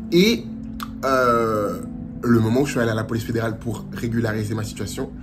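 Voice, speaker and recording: A young man from France giving casual travel advice in a lively vlog, dropping Portuguese phrases as he talks. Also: lowish voice, a little fast. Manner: chatty and animated, slips into Portuguese phrases. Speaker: vlogger. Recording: music playing under the voice.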